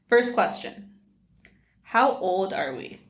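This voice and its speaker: A bubbly, giggly woman in her twenties chats through a couple's Q&A at a desk microphone. Her voice sits at a middling pitch, and she talks in a loose, chatty way in a small room indoors. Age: 20s. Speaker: co-host. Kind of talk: casual couple Q&A chat.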